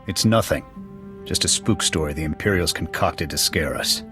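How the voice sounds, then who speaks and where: Game casting: proud, hot-tempered soldier, low-pitched, gruff and clipped, music underneath. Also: male voice, moderate pace. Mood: grim, dismissive. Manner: clipped, military, terse. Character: gruff, proud male military sniper officer, loyal but hot-tempered. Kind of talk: video game dialogue acting. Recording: music behind the voice.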